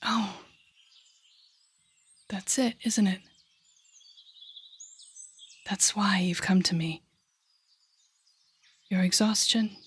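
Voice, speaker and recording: A woman playing a sardonic, menacing telepathic villain in an audio roleplay, mocking and theatrical with deliberate pauses and a husky, breathy voice. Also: mid-range voice, fairly even intonation, exaggerated voice. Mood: intrigued, probing. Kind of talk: audio roleplay acting addressed to the listener.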